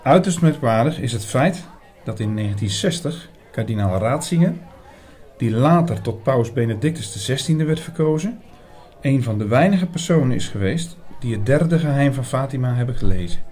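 A man playing an earnest priest who narrates a mystery audio drama in a measured, bookish, formal way. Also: mid-range voice, animated, darkish tone, close to an everyday voice. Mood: earnest, absorbed. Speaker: narrator and lead actor.

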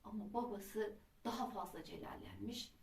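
A woman telling a children's folk tale in an expressive, dramatic traditional storytelling manner. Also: mid-range voice, normal speed, gravelly. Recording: roomy echo, distant microphone.

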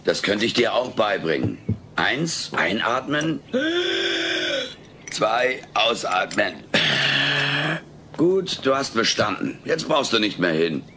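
An actor playing a wisecracking, self-centred furry alien in a sitcom audio play, sardonic and cheeky. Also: male voice, fairly low pitch, very animated, slow pace, long pauses, pushed and bright, full character voice. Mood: wry. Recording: noisy old cassette audio.

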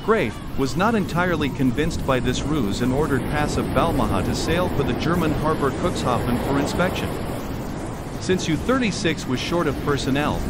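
A man with a fairly high voice narrates like a tour guide, formal and steady as he walks the listener through the story. Loud ambience of a ship and water sits behind him the whole time. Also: very noisy. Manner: formal, even, guiding the listener around. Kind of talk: scripted historical narration.

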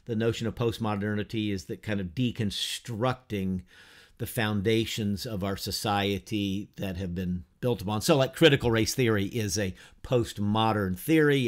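A man in his fifties giving an informal class lecture from a home study, unhurried and conversational, asking rhetorical questions and using many fillers. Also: fairly low pitch. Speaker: teacher of an adult church class. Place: home study lined with bookshelves, whiteboard beside him.

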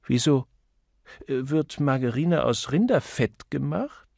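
A male narrator plays a polite new office junior in an audiobook. He sounds puzzled and questioning, lifted in pitch, recorded dry. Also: expressive, slow, gravelly, a little mellow, dry recording, exaggerated voice. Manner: hesitant, questioning.

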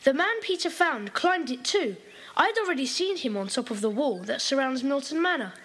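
An eager, high young boy. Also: animated, moderate pace, fairly bright tone. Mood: earnest.

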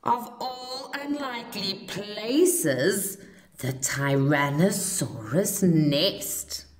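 Slow, expressive woman reading a storybook. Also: mid-range voice. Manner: deliberate phrasing, dramatic emphasis. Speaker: storybook reader. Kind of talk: expressive children's story read-aloud. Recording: roomy, echoing home recording.

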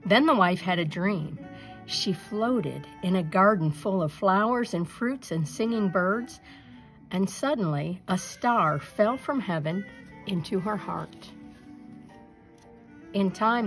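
A woman reading a children's folktale aloud in an expressive, deliberate storytelling manner. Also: on the low side, faint music behind. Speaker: storybook reader. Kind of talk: scripted narration, children's folktale read aloud.

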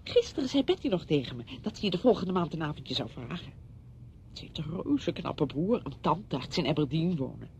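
An actress in a Dutch radio play, playing a brisk, patronising woman who fusses chattily over a widowed friend. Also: big pitch swings, darkish tone. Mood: brisk, chatty. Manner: chatty, fussing, condescending. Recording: dull radio recording with rumbling noise.

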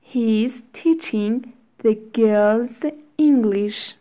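A woman reading short English phrases aloud one at a time, with long pauses for learners to repeat. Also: on the high side, mellow. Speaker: English teacher. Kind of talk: slow read-aloud drill for learners.

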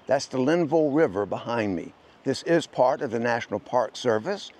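A man's voice: mid-range voice, animated, moderate pace, darkish tone. A man in his sixties narrating a casual outdoor travel vlog in a plainspoken, folksy way. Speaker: travel vlogger and hiker. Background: music.

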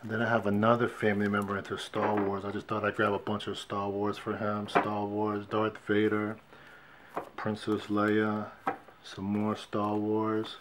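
A man with a lowish voice talks slowly and easily, counting off issue numbers one after another. He sounds relaxed and unhurried, recorded by a fixed camera indoors with a faint hiss behind him. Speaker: comic book collector showing his haul. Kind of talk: casual show-and-tell vlog. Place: indoors at a wooden shelf display. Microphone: fixed camera, hands only in frame.

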